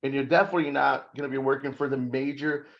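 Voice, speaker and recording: A man's voice: mid-range voice, fairly even intonation, quick pace, vocal fry, muffled recording. A middle-aged man from Brazil, a guest on an interview podcast, talking emphatically about leadership and making self-deprecating jokes. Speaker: corporate security guest.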